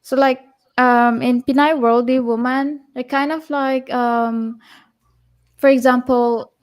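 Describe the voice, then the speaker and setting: A woman from the Philippines co-hosting a casual livestream, pausing often to search for words. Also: high voice, fairly even intonation, clean tone. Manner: hesitant, searching for words, lots of um and like. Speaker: co-host, host's partner.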